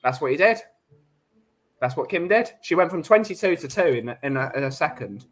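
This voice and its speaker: A man co-hosting a podcast, full of playful jokes and wordplay in relaxed chat. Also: on the high side, brisk. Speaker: podcast co-host. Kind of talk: casual banter.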